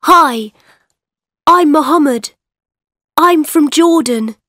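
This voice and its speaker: Lady voicing a kid on an english course tape, super slow and careful, dry studio sound. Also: sits high, fairly bright tone, dry recording, exaggerated voice. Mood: cheerful. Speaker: textbook audio voice actor. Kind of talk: slow scripted textbook dialogue for children.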